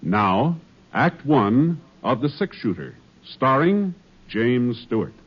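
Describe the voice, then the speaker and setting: A man's voice: medium pitch, sing-song, slow pace. A man announcing in formal, emphatic 1950s radio style, reading from a script. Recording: dull 1950s radio broadcast with music.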